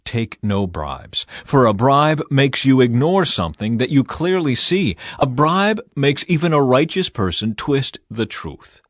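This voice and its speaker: Male audiobook Bible reader, mid-range pitch, measured and solemn, close dry studio sound. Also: dry recording. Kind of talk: scripted scripture narration.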